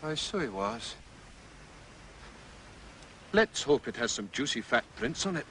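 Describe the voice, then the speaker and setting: A brusque Scottish policeman in period detective drama. His middling voice is sharp and emphatic, moving in lively swings, with a little noise behind. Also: medium pitch, a little slow. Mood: grimly pleased.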